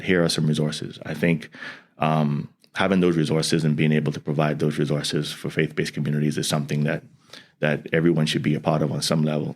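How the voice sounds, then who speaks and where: Very deep-voiced man, earnest and unhurried. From Bahamas. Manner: earnest, with frequent restarts and "you know". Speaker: guest, environmental scientist and educator. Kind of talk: conversational interview.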